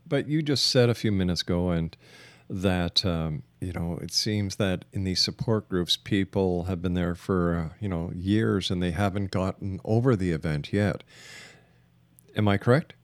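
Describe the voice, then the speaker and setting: A man hosts a radio interview show in a somewhat low voice. He speaks as a presenter, questioning his guest and addressing his audience. The sound is dry and close, with little room to it. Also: moderate pace, no room sound. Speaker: radio host.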